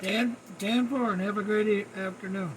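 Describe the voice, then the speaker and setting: A man's voice: sits high, fairly even intonation, moderate pace. A man chatting as a CB radio operator in folksy, clipped call-sign phrases and slang. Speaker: CB radio operator, channel host. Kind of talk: CB radio chat with trucker slang. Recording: noisy radio static and ambience.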